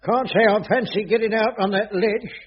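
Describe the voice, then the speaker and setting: A man's voice: high-pitched, steady tone, moderate pace, lightly acted. A man playing a put-upon caretaker in a radio sitcom, grudgingly deferential, grumbling and prone to stammering. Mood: grudging deference. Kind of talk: 1980s radio sitcom acting. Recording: dull radio recording, studio audience, faint noise.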